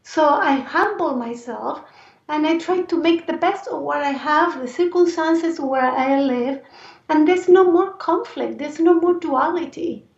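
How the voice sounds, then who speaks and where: A woman from Argentina with an Argentine Spanish accent and a raspy voice, speaking earnestly and reflectively as an interview guest. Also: high voice, a little slow. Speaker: interview guest, life and movement coach. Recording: roomy echo, distant mic, dull sound.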